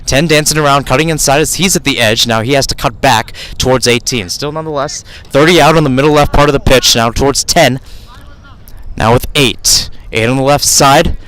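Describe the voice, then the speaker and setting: Male radio soccer commentator, mid-pitched voice, live outdoor call, digressive and self-correcting. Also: fairly quick, fairly bright tone. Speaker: radio play-by-play announcer. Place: outdoors at youth soccer fields.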